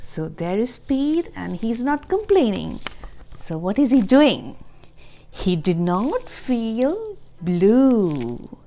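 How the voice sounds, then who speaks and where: A woman reading a picture book aloud for young children in an expressive, deliberate way, stressing key words and adding explanatory asides and questions. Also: a little high. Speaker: children's storybook reader.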